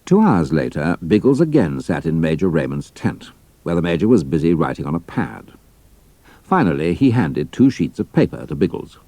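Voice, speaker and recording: A man's voice: deep voice, sing-song, moderate pace, mellow, no echo. A man with a British accent narrating a scripted adventure story as part of an audio drama.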